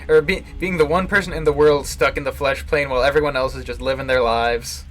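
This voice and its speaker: A man giving casual, halting live commentary on a visual novel, chuckling to himself as he rambles. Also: medium pitch, steady tone, fairly bright tone. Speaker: game streamer, host. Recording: game music behind the voice. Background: video game music.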